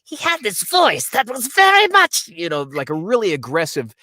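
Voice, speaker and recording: A middle-aged man, a voice actor, chatting as an interview guest and telling stories with animated enthusiasm over a video call microphone. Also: high-pitched, normal speed, no echo, clean studio sound. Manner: animated, enthusiastic storytelling with frequent restarts. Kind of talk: casual interview chat.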